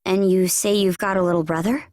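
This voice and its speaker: A woman's voice: medium pitch, fairly even intonation, brisk, a little mellow, no room sound, clean studio sound, close to an everyday voice. Firm young woman captain, voiced by an actress. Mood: frank, stern. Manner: frank, commanding. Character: firm, decisive young female ship captain. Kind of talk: video game cutscene voice acting.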